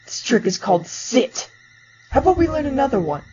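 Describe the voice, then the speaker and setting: A woman voicing a vengeful skeleton who taunts with menacing puns in an animated comic dub. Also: sits high, brisk, background noise. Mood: cold menace. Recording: lo-fi audio under loud music.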